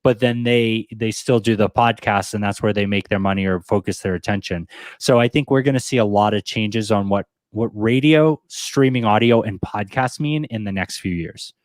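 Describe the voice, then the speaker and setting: A man's voice: lowish voice, normal speed, dry recording, studio quality. A man speaking as an expert guest, giving informal, plainly explained answers with examples in an interview. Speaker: podcast industry expert guest.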